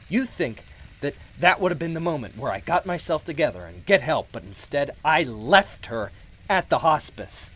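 A man playing a hesitant, guilt-ridden widowed husband confiding in an audio drama, with halting delivery. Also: mid-range voice, fairly bright tone. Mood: bitter self-reproach. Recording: background music under the voice.

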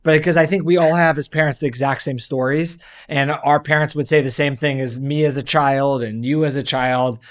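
A man's voice: on the high side, normal speed, few pauses. A man who co-hosts as a doctor, telling a childhood story in a casual chat. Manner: storytelling, animated anecdotes. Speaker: doctor and co-host.

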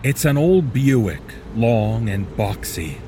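A man reading a scripted second-person horror story in a measured, deliberate manner. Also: on the low side, sing-song, warm tone, slight background noise.

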